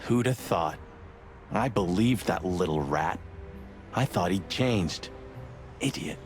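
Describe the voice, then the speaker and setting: A streetwise rooster cop sidekick, with a lowish voice. He is sardonic and needling but loyal, in a noir detective game with prominent music underneath. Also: expressive, slow. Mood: grim, bitter. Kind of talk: film noir game voice acting. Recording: music behind the voice.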